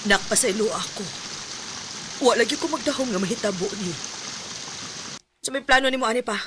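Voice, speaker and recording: A woman's voice: on the high side, brisk, noisy background, natural voice. A woman acting an anguished, lovesick young woman telling her story in a sentimental radio drama. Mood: distressed, confused. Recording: music bed under voice. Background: music.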